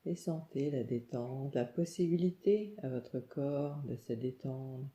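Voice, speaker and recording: A woman leads a guided relaxation meditation, calm and soothing, giving gentle instructions in short phrases with pauses. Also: very deep voice. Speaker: yoga nidra meditation guide. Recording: muffled, echoey room sound.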